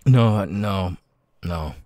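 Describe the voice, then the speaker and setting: A man playing a nervous, grateful young outcast with vocal tics in an ASMR roleplay, speaking haltingly and repeating words. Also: warm tone, dry recording, studio quality, full character voice. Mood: hesitant, weary. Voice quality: very deep voice with stuttering, sudden high-pitched tic outbursts.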